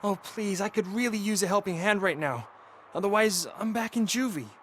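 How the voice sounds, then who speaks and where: A man's voice: sits high, normal speed, a bit bright, a little hiss or hum. An actor playing a troubled teenage boy and petty thief in a video game, earnest and streetwise, with an anxious, regretful manner. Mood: pleading. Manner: pleading, earnest, streetwise slang. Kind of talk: video game cutscene acting.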